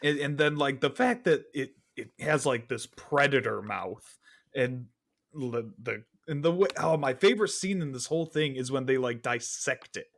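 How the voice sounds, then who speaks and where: A man's voice: mid-range voice, normal speed, creaky. A man chatting informally as a podcast co-host in a casual film discussion.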